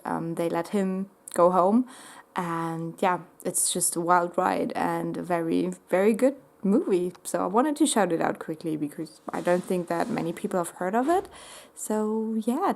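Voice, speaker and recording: A woman's voice: fairly low pitch, vocal fry. Chatty woman book vlogger. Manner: chatty, relaxed, with frequent "um" and "like". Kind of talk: casual vlog chat.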